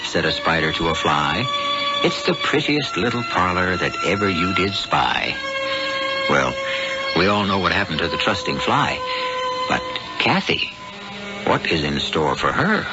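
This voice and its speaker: A man narrating a scripted radio mystery as host, ominous, theatrical and deliberate. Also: low voice, big pitch swings. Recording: dull old radio recording, loud music. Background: loud music.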